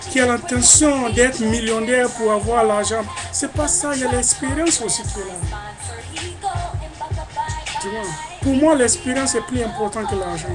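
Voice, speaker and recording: African guy ranting into his webcam, keeps repeating himself, loud music going in the background. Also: very high-pitched. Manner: emphatic and indignant, repeats phrases. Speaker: vlogger. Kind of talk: webcam monologue.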